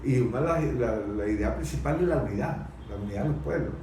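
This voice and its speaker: A Venezuelan man talks politics off the cuff in a very echoey room, away from the mic. He speaks haltingly, repeating words as he looks for the next phrase. His pitch is average. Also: lively intonation, warm tone. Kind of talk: unscripted political discussion. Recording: very echoey room, distant mic, faint noise.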